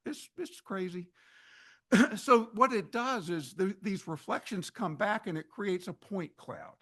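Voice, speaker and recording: A man's voice: high-pitched, lively intonation, measured pace, pushed and bright. A man presenting on forest ecology to a meeting audience in an informal, conversational way, often restarting phrases and adding wry asides. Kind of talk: informal slide lecture to an audience. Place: meeting hall at an annual meeting.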